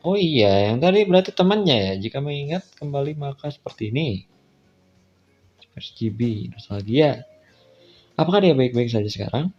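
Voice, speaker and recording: A man's voice: mid-range voice, mellow. A man with an Indonesian accent doing a casual let's play, reading visual novel text aloud and adding colloquial off-the-cuff asides. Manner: colloquial, reacts with asides to what he reads. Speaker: gaming content creator. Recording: dull, lo-fi sound with faint music behind. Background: faint game music.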